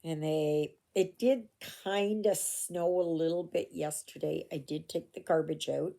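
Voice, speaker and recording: A woman's voice: low-pitched, fairly even intonation, a little slow, gravelly, mellow. An older woman from Canada chatting casually while she stitches, rambling with asides and fillers, recorded at home on a phone. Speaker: cross-stitch vlogger. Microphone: phone camera.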